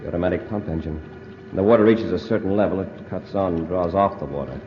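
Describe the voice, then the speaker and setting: Actor as low-voiced commanding space patrol commander. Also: male voice, fast talker, lightly acted. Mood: brisk, steady. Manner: authoritative. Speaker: radio drama actor. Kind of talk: mid-century radio adventure acting. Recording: noisy, muffled old radio recording.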